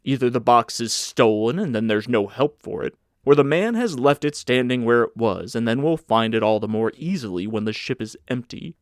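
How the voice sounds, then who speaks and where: Audiobook role: gruff ship's stoker, male, vigorous and argumentative, medium pitch, put on by a male reader. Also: expressive, normal speed, hardly any pauses, dry recording, studio quality, close to an everyday voice. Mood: blunt, insistent. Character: gruff, blunt, proud grown German ship's stoker, a man. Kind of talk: audiobook character acting.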